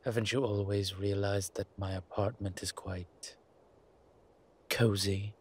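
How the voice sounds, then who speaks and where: A man playing a centuries-old vampire in a roleplay audio drama, suave, wry and protective, speaking in a measured, theatrical way with deliberate pauses. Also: lowish voice, level tone, a little mellow. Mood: wry, grumbling. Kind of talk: scripted roleplay audio drama addressed to the listener. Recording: clear background ambience noise.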